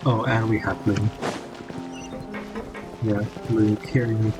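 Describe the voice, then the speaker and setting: A man's voice: lowish voice, little pitch movement, slow, low-quality recording. A man giving casual, laid-back game commentary, thinking aloud as he plays. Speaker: gamer, video creator. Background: loud game music.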